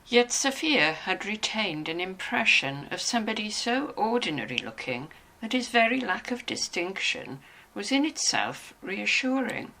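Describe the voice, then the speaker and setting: A woman's voice: medium pitch, very animated, measured pace, vocal fry, bright, forceful tone, poor audio. A woman reading scripted narration from a novel.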